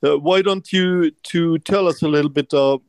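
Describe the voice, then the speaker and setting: A man co-hosting a podcast in halting English, whose first language is Swedish, stumbling over words. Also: a little high, clean tone, dry recording. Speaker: podcast co-host.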